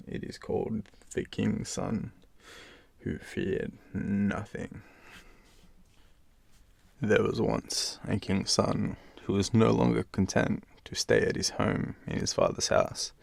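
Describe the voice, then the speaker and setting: An Australian man reading a bedtime fairytale in an informal, relaxed way as a storyteller. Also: on the low side, gravelly, no echo.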